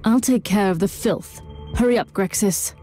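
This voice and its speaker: A humorless female inquisitor from a grim sci-fi game, played by a woman, used to giving orders. Her mid-pitched voice is curt, formal and commanding, with music clearly audible behind it. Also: moderate pace, natural voice. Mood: impatient. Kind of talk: sci-fi video game voice acting.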